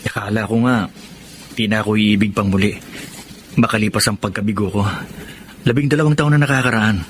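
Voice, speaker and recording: A man acting as a kind, humble, earnest suitor in a Filipino radio drama, speaking sincerely and deliberately with a Filipino accent. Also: on the low side, steady tone, slight background noise, close to an everyday voice. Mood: earnest, tender.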